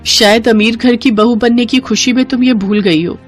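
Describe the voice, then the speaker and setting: A woman voicing a gentle, dutiful young sister in a moral story, speaking with earnest, sincere emotion. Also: fairly high pitch, fairly even intonation, moderate pace, faint music behind, close to an everyday voice. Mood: reproachful. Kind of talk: moral story character acting.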